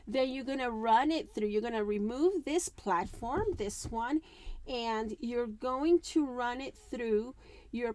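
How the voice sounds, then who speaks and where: A woman crafting vlogger going through an unboxing in a chatty way, reading instructions aloud with many fillers, her voice worn and going hoarse. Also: fairly high pitch, darkish tone, dry recording. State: losing her voice. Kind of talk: casual unboxing walkthrough.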